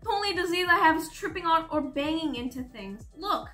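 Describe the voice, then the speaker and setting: A woman's voice: very high-pitched, lively intonation, brisk, pushed and bright, soft background music, voice pushed up, heavily acted. A woman voicing a wisecracking, talkative schoolgirl with an exuberant, teasing way of talking, as audiobook dialogue. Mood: excited.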